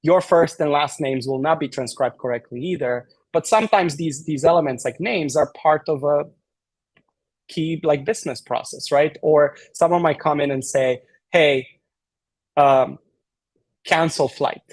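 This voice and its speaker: A man's voice: fairly high pitch, dry recording. A man from Romania with a slight Romanian accent, explaining things as a guest in a podcast interview, with lots of fillers and restarts. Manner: fast, filler-heavy explaining with many restarts. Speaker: tech company guest.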